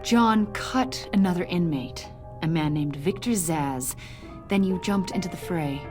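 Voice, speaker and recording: A woman voices a female agent in a game drama, questioning with cool, insinuating precision. Her voice sits low, and music plays clearly behind her. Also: natural voice. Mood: probing, cool. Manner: measured, needling, pointed. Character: probing, insinuating female government agent, coolly confrontational. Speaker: voice actor in a story video game. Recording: dialogue over clear background music.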